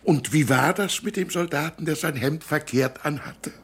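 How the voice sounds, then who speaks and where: Sombre interrogator in a radio play, really low gravelly voice, terse. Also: female voice, moderate pace. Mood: probing. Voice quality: husky, gravelly. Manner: terse questioning. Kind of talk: German radio drama acting.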